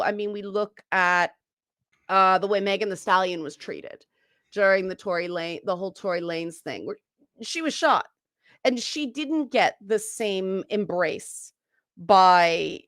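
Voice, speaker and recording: A woman's voice: mid-range voice, fairly bright tone, no room sound, studio quality. A woman speaking as a guest on a casual panel discussion, frank and opinionated.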